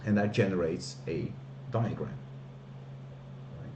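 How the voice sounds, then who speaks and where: Flemish man with a deep voice. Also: takes it slowly, echoey room, background noise. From Belgium, Flemish part. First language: Dutch. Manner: hesitant, many fillers and restarts. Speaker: streamer. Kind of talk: casual live-stream walkthrough.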